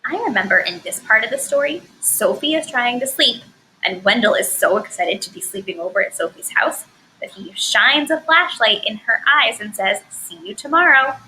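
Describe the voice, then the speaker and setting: A woman teaching a recorded lesson to first graders in clear, encouraging, expressive speech. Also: a little high, normal speed, a little hiss or hum, rough recording.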